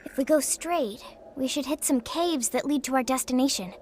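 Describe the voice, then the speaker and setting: A woman voicing a bright, dutiful teenage girl crew member in a video game, earnest and respectful. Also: sits high, moderate pace, slight background noise, close to an everyday voice. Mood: reassuring. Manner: earnest, respectful, military politeness. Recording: loud music behind the voice.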